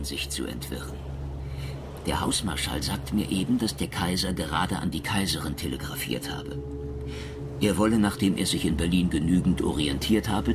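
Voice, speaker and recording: Very deep-voiced confiding officer. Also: male voice, background noise. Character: sober court officer writing home to his family. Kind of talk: radio play, intimate letter reading.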